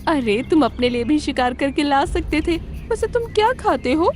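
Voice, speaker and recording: A woman's voice: high voice, big pitch swings, moderate pace, darkish tone, faint music behind, voice pushed up. A woman voicing a bossy, cunning female fox in a children's cartoon, with swooping, commanding delivery. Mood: scheming. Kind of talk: children's cartoon character acting.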